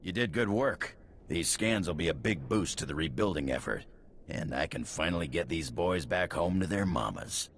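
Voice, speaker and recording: An actor playing a gruff, scornful mercenary boss in a video game, sneering and blunt. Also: male voice, sits low, expressive, normal speed, a little hiss or hum. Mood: gruffly approving. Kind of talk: video game character acting.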